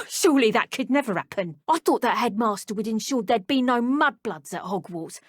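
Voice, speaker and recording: A haughty, menacing witch villain for a video game. Her voice sits high and swings widely in pitch, sneering and taunting with open contempt. The recording is close and dry. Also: expressive, moderate pace, no room sound. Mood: haughty, musing. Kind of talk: video game combat barks and villain lines.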